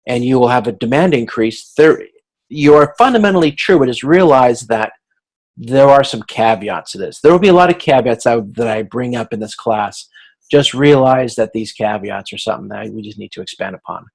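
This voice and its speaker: A man giving an explanatory online lecture as a college instructor, speaking in a measured, semi-improvised way and often repeating words as he goes. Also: mid-range voice, rough recording. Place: video conference recording.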